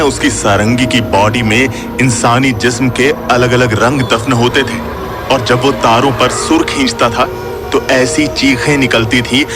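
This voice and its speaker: A man's voice: mid-range voice, close to an everyday voice. A man playing a serious father who instructs his son about occult dangers in an audio horror drama.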